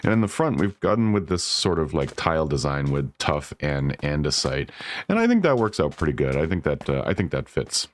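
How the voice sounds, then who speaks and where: A man casually talking through his game build, relaxed and rambling, correcting himself and laughing softly, a bit congested. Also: a bass voice, brisk. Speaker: Minecraft builder and channel host. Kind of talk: casual gameplay commentary.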